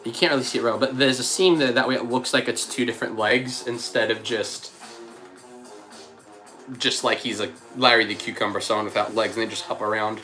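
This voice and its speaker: A man's voice: mid-range voice, steady tone, a little fast, sharp tone, faint music behind. A man hosting a casual livestream, thinking aloud as he builds and answering chat, still with a slight cough and a frog in his throat. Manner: thinks aloud, chatty, reads out chat messages. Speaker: livestream host.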